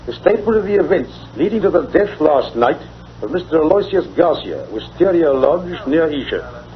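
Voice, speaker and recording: An actor playing a blunt, suspicious English police inspector, formal and clipped, in an old-time radio drama. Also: male voice, fairly high pitch, big pitch swings, normal speed. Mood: grave. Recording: dull lo-fi old radio recording.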